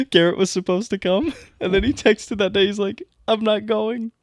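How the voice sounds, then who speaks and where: A man's voice: sits high, a little slow, no room sound. A young man co-hosting a podcast in casual banter, joking and trash-talking his friend. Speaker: podcast co-host.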